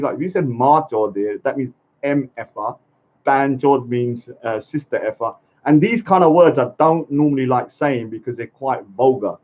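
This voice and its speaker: A man's voice: on the high side, a little mellow. A man delivering a blunt, combative ranting monologue, repeatedly saying "let me say something" and checking with "yeah?". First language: English. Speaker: speaker's corner vlogger. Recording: dull lo-fi sound.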